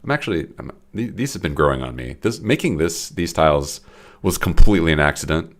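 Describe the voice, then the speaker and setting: A man's voice: a bass voice, expressive, brisk. A young man with a stuffy, congested voice, rambling casually and self-deprecatingly while he streams his game development. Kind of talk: casual live-stream commentary.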